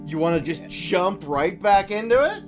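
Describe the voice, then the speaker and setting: A woman's voice: mid-range voice, very animated, normal speed, music in the background. A woman hosting a casual live game stream and chatting with co-players and viewers. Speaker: livestream host.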